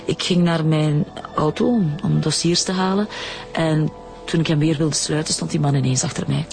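An actress playing a shaken, evasive wife who recounts her abduction haltingly in a Flemish accent, in a radio drama. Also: deep voice, steady tone, gravelly, a little mellow. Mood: shaken, hesitant. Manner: hesitant, halting, repeating words. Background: music.

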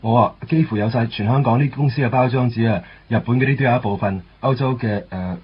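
A man's voice: mid-range voice, fast, slight background noise, natural voice. A man playing a goofy, eager young editor. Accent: Hong Kong Cantonese. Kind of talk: 1990s radio drama acting. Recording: dull lo-fi radio recording, faint music.

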